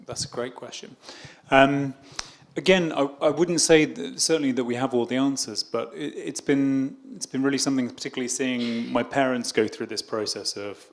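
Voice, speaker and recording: A man's voice: medium pitch, vocal fry. Hesitant British man fielding questions. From Britain. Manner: hesitant, restarts and repeats words. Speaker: British diplomat answering audience questions. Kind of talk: public Q&A answers.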